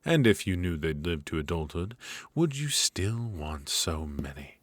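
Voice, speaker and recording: A man voicing a cold, strict royal magic professor in an ASMR roleplay, lecturing in a measured, stern way into a close mic. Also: deep voice, big pitch swings, a little hiss or hum.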